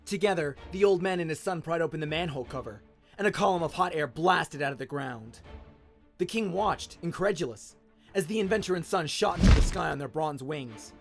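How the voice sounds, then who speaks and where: Guy narrating an adventure story, dramatic, lightish voice, soft music behind him. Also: on the high side, animated, fairly quick, vocal fry. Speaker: narrator, voice actor. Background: music and sound effects.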